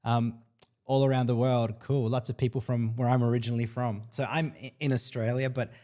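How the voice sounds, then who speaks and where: A man's voice: medium pitch, little pitch movement, brisk, dark tone. Chatty man hosting a live Q&A. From El Salvador. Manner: chatty, upbeat, lots of fillers, reads comments aloud. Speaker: livestream host. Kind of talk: casual live Q&A stream.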